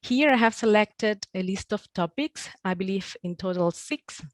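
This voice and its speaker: A woman's voice: mid-range voice, normal speed, dry recording. Woman facilitating an online group meeting. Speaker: host of an online meetup.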